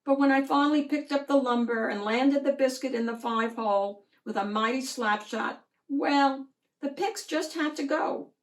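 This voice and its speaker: A woman voicing a spirited, proud, hockey-loving grandmother in a storybook, expressive and encouraging. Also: high voice, normal speed, distant mic, pitched above the performer's own voice, close to an everyday voice, low-quality recording. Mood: gentle, knowing. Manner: exclaiming, expressive.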